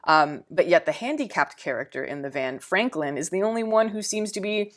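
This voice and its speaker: A woman's voice: on the low side, lively intonation, a little fast. A woman with a Texan accent reviewing a film in a casual, rambling way, thinking aloud with fillers and repeated words. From Texas.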